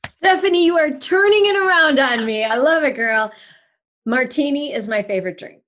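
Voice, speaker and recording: A woman's voice: high-pitched, moderate pace. A woman from Sri Lanka in her forties, a longtime broadcaster, talking candidly and emphatically as a guest in a remote interview. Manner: emphatic, candid, animated storyteller. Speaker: broadcaster and podcast host, here the guest. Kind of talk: casual interview chat. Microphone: remote call.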